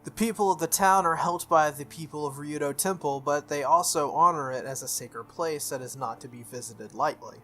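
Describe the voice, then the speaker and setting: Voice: mid-range voice, moderate pace, hardly any pauses, fairly bright tone, faint music behind. A game playthrough host reading visual novel text aloud, sometimes stumbling and rereading, with casual asides.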